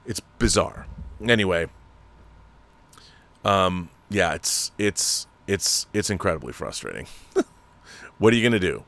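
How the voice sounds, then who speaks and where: A man's voice: low-pitched, animated, unhurried, gravelly, long pauses, fairly bright tone. A man hosting his channel and reading viewer letters, ranting with exasperation and repeating words as he talks off the cuff. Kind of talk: unscripted commentary.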